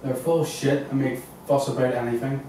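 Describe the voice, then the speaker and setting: A man's voice: medium pitch, steady tone, fairly quick, dark tone, lightly acted. A man playing a worried client searching for his missing partner, in a crime thriller table read. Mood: bitter. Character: Paul Watts, worried well-groomed man seeking missing partner. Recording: very roomy, distant mic, faint noise.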